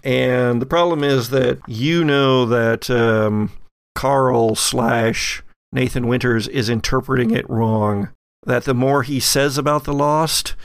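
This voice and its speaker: A man runs a tabletop horror game as its game master. His mid-pitched voice is creaky and closely recorded with a dry sound, and he describes scenes haltingly. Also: dry recording.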